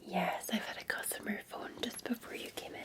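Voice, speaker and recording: A Scottish woman whispering softly and breathily in a gentle, soothing, unhurried ASMR roleplay as a café barista.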